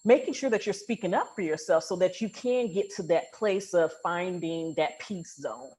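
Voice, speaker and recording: A woman podcast host with a slightly low voice. She talks casually in an affirming, explanatory manner, as if working through advice with a guest. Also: moderate pace, darkish tone, a little hiss or hum, rough recording. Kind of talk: casual interview conversation.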